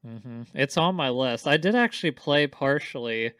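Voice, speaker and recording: A man with a smooth, clear voice chats casually as a podcast panelist. His pitch is average, and his manner is informal and conversational. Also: expressive, moderate pace, fairly bright tone, no echo, studio quality. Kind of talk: casual chat.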